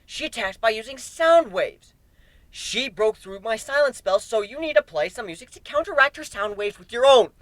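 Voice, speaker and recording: A man's voice: extremely high pitch, expressive, moderate pace, a bit bright, dry recording, voice pushed up. A man voicing a brash, foul-mouthed female griffin warrior who barks urgent orders in a dramatic story reading. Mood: impatient, commanding.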